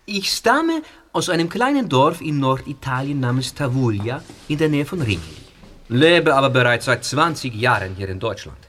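An actor playing a friendly, slightly nervous young history teacher from northern Italy, speaking. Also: male voice, medium pitch, very animated, normal speed. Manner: polite, warm, explaining. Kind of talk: audio drama acting.

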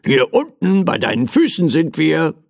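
A man's voice: medium pitch, huge pitch swings, measured pace, creaky, husky, no room sound, studio quality, heavily acted. A man voicing a small, childlike teddy bear in a storybook, eager and plaintive. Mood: eager, impatient.

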